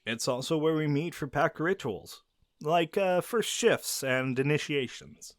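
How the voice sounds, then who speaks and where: An actor playing a friendly young male werewolf who shows a silent listener around his pack's home, easygoing and quick to laugh. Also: a little high, no room sound, natural voice. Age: young adult. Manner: easygoing, laughs often, answers unheard questions. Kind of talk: audio roleplay to a silent listener. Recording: dry close recording.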